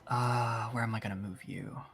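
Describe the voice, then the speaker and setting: A man's voice: on the low side, fairly even intonation, moderate pace, fairly bright tone. A man running a tabletop game casually as game master. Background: music.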